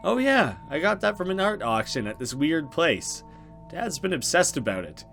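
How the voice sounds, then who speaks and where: A man's voice: a little high, sing-song, moderate pace, gravelly, a bit bright, faint music behind, pitched above the performer's own voice, exaggerated voice. Man reading as an earnest young woman in a visual novel. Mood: friendly. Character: grieving young woman, friendly hostess, unsettled by things. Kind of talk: visual novel dialogue read aloud.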